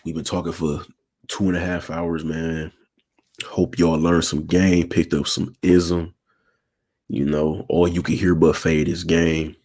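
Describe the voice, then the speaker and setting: A man in his twenties with a raspy voice and a sore throat, talking casually to viewers on his livestream and checking in with slangy asides. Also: low-pitched, flat delivery, unhurried, darkish tone. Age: 20s. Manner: slangy, keeps asking "you feel me". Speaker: channel host.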